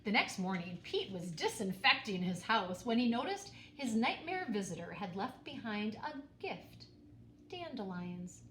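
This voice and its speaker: A woman storyteller reads a children's book with very lively pitch and dramatic emphasis on key words. Her voice echoes in the room, with faint noise behind it. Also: measured pace. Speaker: storyteller reading a picture book aloud. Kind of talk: expressive children's read-aloud narration. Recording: roomy echo, faint background noise.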